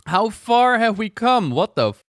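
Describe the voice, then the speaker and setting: A man's voice: high voice, very animated, measured pace, fairly bright tone, faint music behind. Clean-voiced man giving enthusiastic game commentary. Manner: enthusiastic, effusive. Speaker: video game lore reactor. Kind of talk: casual reaction commentary.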